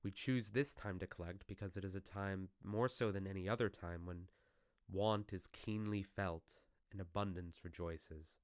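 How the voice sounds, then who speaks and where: An actor playing a solemn, earnest gentleman collecting for charity in a radio play, speaking formally. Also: deep voice, steady tone, normal speed, dry recording, studio quality, close to an everyday voice. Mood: earnest appeal.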